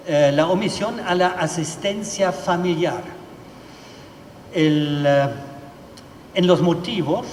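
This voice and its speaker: Halting man in an echoey hall. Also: on the high side, a little mellow. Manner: halting, many fillers and restarts. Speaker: legal expert speaker. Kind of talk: formal panel talk. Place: large hearing hall. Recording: echoey hall with faint room noise.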